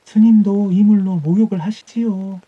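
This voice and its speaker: A man's voice: high voice, fairly even intonation, moderate pace, slightly rough, highs cut off, voice pushed up. A man voicing a serene, commanding female bodhisattva in a storybook tale, speaking formally and solemnly. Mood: solemn, inviting.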